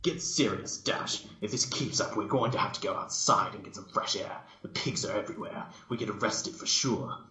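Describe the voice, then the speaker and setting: A man's voice: on the low side, moderate pace, few pauses, sharp tone, reverberant, highs cut off. A man voicing a bossy, impatient female cartoon unicorn pony with a scolding, emphatic delivery in a fan story reading. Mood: bossy, irritated.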